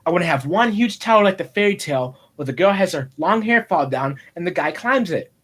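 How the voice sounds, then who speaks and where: A man voicing an imaginative, eager twelve-year-old boy, talking in an excitable, earnest, chatty way. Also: high voice, fairly quick, breathy edge, heavily acted.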